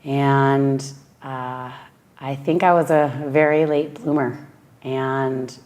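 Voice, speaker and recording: A woman from Western Massachusetts with a raspy voice, a yoga teacher speaking slowly and thoughtfully in a heartfelt personal interview. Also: rumbling low, steady tone, darkish tone. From Western Massachusetts, USA.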